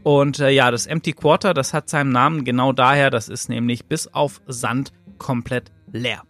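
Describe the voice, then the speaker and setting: A man's voice: mid-range voice, steady tone, moderate pace, a bit bright, dry recording. A man hosting a podcast on his own, talking casually and loosely with lots of filler sounds. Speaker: podcast host. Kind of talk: casual solo podcast commentary.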